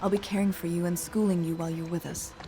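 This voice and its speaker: An actress playing a kindly, proper governess in a video game cutscene, gentle, formal and polite. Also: female voice, fairly low pitch, fairly even intonation, fast, background noise, natural voice. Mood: welcoming.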